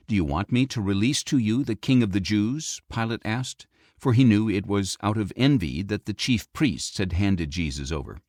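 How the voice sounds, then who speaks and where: Deep-voiced man narrating scripture, very expressive. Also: dry recording, clean studio sound. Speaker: audiobook narrator. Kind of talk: scripted scripture narration, dramatic audio Bible reading.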